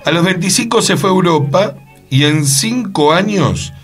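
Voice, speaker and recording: A man's voice: high voice, expressive, normal speed, a bit bright, husky, faint music behind. A man with a Rioplatense Argentine accent, co-hosting radio and telling anecdotes in a casual chat style. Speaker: radio co-host.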